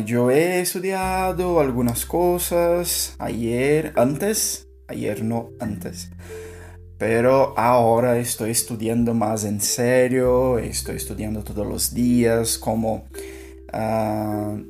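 A Brazilian Portuguese speaker learning Spanish, talking in a halting way, searching for words and laughing softly. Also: mid-range voice. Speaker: language learner. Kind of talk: casual spoken practice monologue.

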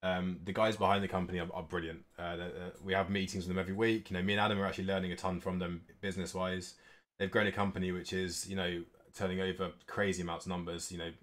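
A man with a low voice and a fairly flat delivery hosts a casual business update. He talks informally and off the cuff, feeling his way through his points a little hesitantly. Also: fast talker. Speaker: esports organisation co-owner hosting an update stream.

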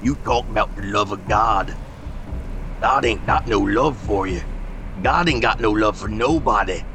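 A man voicing a grim giant farmhand who speaks flatly in a rural folk dialect. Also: lowish voice, measured pace, noisy background. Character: grim giant old farmhand, cold and fatalistic.

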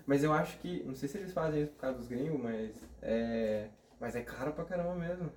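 A man hosting a podcast chats in a laid-back way with quick agreeing interjections. His voice is mid-pitched. It sounds roomy, as if he sits away from the microphone. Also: a little mellow, recorded from a distance, slight background noise. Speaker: podcast host. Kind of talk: casual chat.

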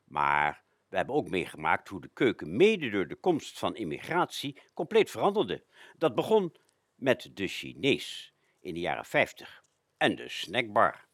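A man presenting a radio show, narrating from a script with frequent hesitant pauses. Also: fairly low pitch, huge pitch swings, a bit bright, no echo, clean studio sound.

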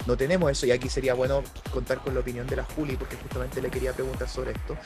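A man's voice: medium pitch, flat delivery, very fast, music in the background. A man with a Chilean accent in casual political chat, earnest and often restarting his sentences.

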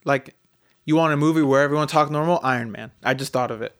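A man's voice: fairly high pitch, fast talker. A man co-hosting a talk show in casual, opinionated banter, speaking bluntly with jokes and swearing.

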